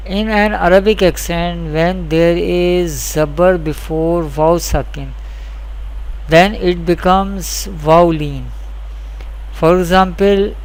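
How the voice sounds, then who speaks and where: A teacher giving a scripted Quran recitation lesson, explaining deliberately through repeated questions and answers, with spelled-out examples. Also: medium pitch, rough recording.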